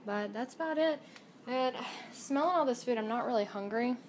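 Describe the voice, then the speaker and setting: A bubbly young woman with a slightly high voice rambles cheerfully into her phone at arm's length. She talks through her cooking and her day in a casual vlog style, with steady household noise behind her. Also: moderate pace. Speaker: vlogger. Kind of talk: casual daily vlog chat. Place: home kitchen, indoors. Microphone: phone camera at arm's length.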